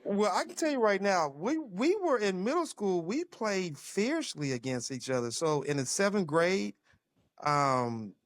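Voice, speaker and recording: Animated man telling football stories. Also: high voice, a little slow, dry recording, clean studio sound. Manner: animated storyteller with laughs. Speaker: former football player, guest. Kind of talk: casual reminiscing conversation.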